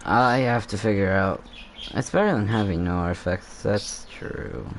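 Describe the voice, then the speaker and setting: A man's voice: on the low side, unhurried, slight background noise. A man giving casual gameplay commentary, rambling and thinking aloud as he plays. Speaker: gamer commentator.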